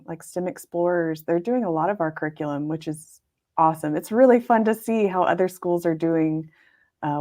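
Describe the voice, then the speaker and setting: Upbeat young woman teacher, lowish voice, talking from her classroom. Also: darkish tone. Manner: upbeat, talkative. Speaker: kindergarten teacher and podcast co-host. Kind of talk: casual chat.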